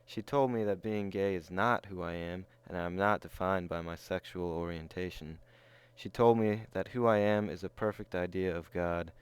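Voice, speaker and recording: A man's voice: low voice, steady tone, normal speed, clear, resonant tone. A man reading a written first-person account aloud. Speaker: reader of personal testimony.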